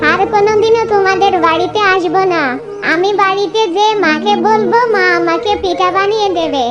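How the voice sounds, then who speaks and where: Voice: very high voice, moderate pace, highs cut off, loud music behind the voice. A performer voicing an emphatic, theatrical fox villager in a children's cartoon. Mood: hurt. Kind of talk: Bengali children's cartoon dubbing.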